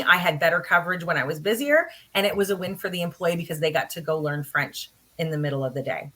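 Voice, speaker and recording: Woman instructor, earnest conference speaker, bright and somewhat low-pitched, practical, many fillers. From Canada. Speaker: college instructor. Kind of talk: conference presentation.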